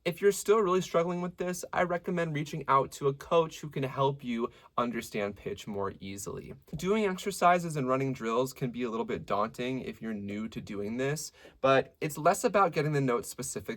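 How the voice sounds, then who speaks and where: A man with a medium-low voice teaching a singing lesson, explaining technique clearly and stopping to sing short examples. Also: normal speed, few pauses. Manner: lively, demonstrative teacher who breaks into sung examples. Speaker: vocal coach.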